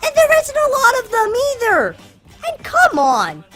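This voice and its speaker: Pitch-shifted, high, swooping voice of a reviewer. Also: wildly swooping pitch, measured pace, music in the background. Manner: opinionated, exasperated emphasis on key words. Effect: artificially pitch-shifted voice. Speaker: film reviewer. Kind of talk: scripted review commentary.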